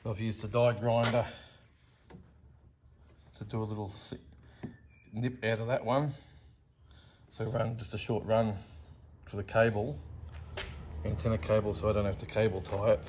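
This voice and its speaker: A man with a fairly deep voice narrates a hands-on how-to as a DIY presenter. He is plain and matter-of-fact, explaining things step by step. There is some faint noise behind his voice. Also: little pitch movement, normal speed, long pauses, a little mellow.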